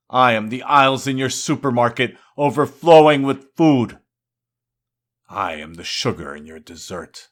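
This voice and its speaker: A man's voice: mid-range voice, fairly bright tone, close to an everyday voice. A man voicing a boastful, defiant god of wine and excess in a grandiose, insistent poetry recital.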